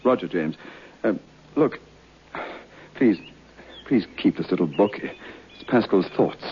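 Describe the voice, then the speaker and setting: A man playing an earnest, polite gentleman narrator in an old-time radio drama. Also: low voice, expressive, slow pace. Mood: earnest, sympathetic. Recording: muffled, faintly noisy old radio recording.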